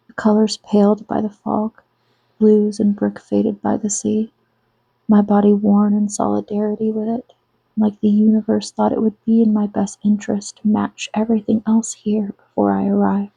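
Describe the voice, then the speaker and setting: A woman reading her own novel aloud in a soft, hushed ASMR style, close to the mic. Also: mid-range voice, vocal fry, breathy edge, dry recording, dull audio.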